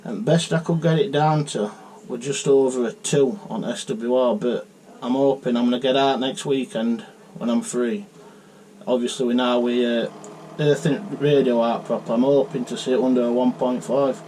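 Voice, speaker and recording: Male radio hobbyist, Northern English accent, casual chatter, very soft dark tone, light background noise. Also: mid-range voice, fairly even intonation, moderate pace, rough recording. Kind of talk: casual chat over CB radio.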